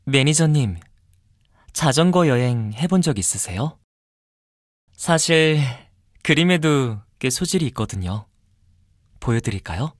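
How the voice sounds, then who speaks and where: A courteous young man from a mobile game, acted by a man, speaks slowly and softly in a very dry, close recording. He is fastidious and sweetly possessive, measuring each phrase with intimate care. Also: medium pitch, no room sound, lightly acted. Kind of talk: mobile game character voice lines.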